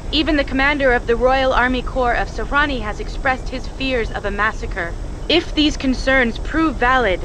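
An actress as a high-voiced woman field reporter in a video game. She is flustered and stammers through live war reports. The recording is noisy, with vehicles outdoors. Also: lightly acted. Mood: grave, urgent. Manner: hesitant, stammering under pressure. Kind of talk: live television news report.

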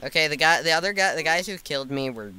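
Man, casual gameplay chat, upbeat and teasing, crisp bright tone, headset mic. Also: a little high, animated, fast. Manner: upbeat, teasing, encouraging, laughs often. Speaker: gamer co-host.

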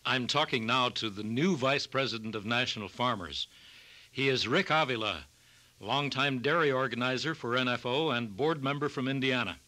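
Bright-toned guy hosting a farm news show, formal announcer reads. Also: medium pitch. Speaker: radio program host. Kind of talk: scripted news narration and interview.

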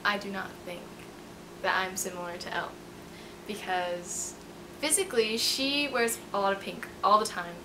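A woman's voice: mid-range voice, moderate pace. Teenage woman in a noisy room. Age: late teens. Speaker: student actor being interviewed. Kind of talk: casual on-camera interview. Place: indoor school stage during rehearsal. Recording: noisy room, busy ambience.

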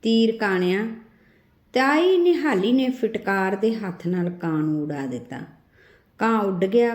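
A woman's voice: mid-range voice, warm tone. A woman reading a literary short story as a scripted narration. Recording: roomy echo on the voice.

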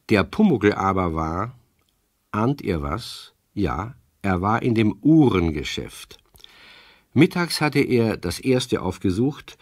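A male narrator tells a children's story in a scripted style. His voice is deep and expressive, rising and falling freely, in a dry recording. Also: breathy edge, no echo.

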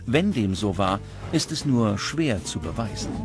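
A man reading scripted documentary narration with dramatic, measured phrasing. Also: on the low side, sing-song. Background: background music.

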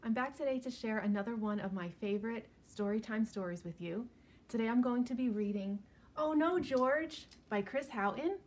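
A woman in her thirties giving an expressive picture-book read-aloud, speaking directly to young children. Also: a little high, moderate pace. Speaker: children's librarian reading at story time. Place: indoors, living room at home.

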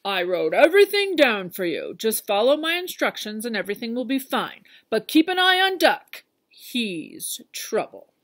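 A woman's voice: fairly high pitch, big pitch swings, moderate pace. A woman voicing a bossy, suspicious male farmer in a storybook, with an emphatic, commanding manner. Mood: stern warning.

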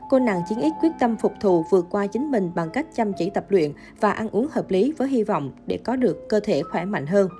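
A woman reading a scripted entertainment news narration in an even, steady delivery. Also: mid-range voice.

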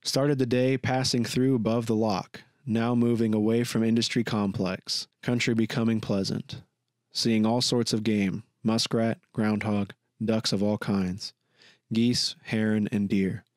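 A man reads a written account as scripted narration. His voice sits slightly low, the delivery is measured, and the recording is dry and close. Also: flat delivery, darkish tone, dry recording. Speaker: podcast narrator. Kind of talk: scripted narration of a magazine article.

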